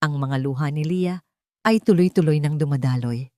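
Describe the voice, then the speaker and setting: Casting: female audiobook-style narrator for romantic drama, lowish register, dry close recording. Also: moderate pace, dark tone, no room sound.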